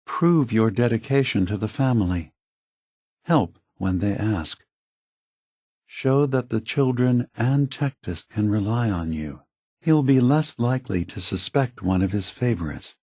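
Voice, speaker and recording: An actor playing a calm, weary, philosophical android leader in a video game, speaking in a measured, gentle way with a husky voice. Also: male voice, fairly low pitch, dry recording, studio quality. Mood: grave, scheming. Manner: measured, deliberate, gentle, reflective.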